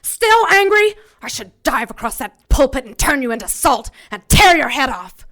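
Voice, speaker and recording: A woman's voice: high-pitched, very animated, moderate pace, fairly bright tone. An actress playing a righteous, wrathful female angel in an audio drama, haughty, emphatic and defiant. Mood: furious.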